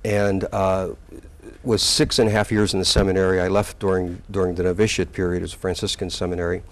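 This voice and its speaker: Older man, sixties, deep voice; unhurried interview guest who hesitates and restarts often. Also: flat delivery. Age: 60s. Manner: halting, with many fillers and restarts. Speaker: community college president, guest. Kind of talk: relaxed interview recollection.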